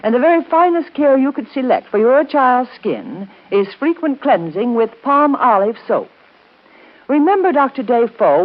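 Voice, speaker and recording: Animated woman in tinny old radio advertisement. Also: on the high side. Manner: emphatic, persuasive direct address. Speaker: commercial spokesperson. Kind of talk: scripted 1930s radio advertisement. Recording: tinny, band-limited 1930s radio broadcast.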